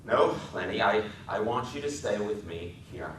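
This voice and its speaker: A man's voice: lowish voice, lively intonation, moderate pace, fairly bright tone. Man as a tired protective ranch hand, terse, reluctant, folksy grammar, dramatic story reading, roomy distant recording. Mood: weary, gentle. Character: weary, gruff, protective working man, shaken. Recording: roomy echoing hall, distant mic, faint noise.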